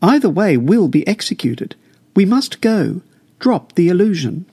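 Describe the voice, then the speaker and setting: A man's voice: sits high, very animated, a little slow, mellow, slightly rough, pitched above the performer's own voice, full character voice. A man reading an audiobook, voicing a desperate mother who urgently pleads to save her son.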